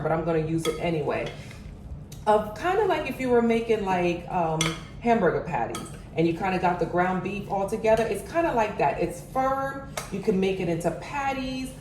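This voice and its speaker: Chatty woman in an echoey kitchen. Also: medium pitch. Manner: chatty, step-by-step, addresses viewers as guys. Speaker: cooking channel host. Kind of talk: casual cooking demo, talking to viewers. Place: home kitchen. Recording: echoey kitchen, mic far off, noisy.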